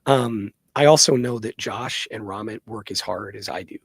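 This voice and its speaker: A man's voice: fairly low pitch, moderate pace, creaky, husky, no room sound. A man answering audience questions as a guest in an earnest, emphatic, conversational way, often checking in with "right?". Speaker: guest, startup co-founder.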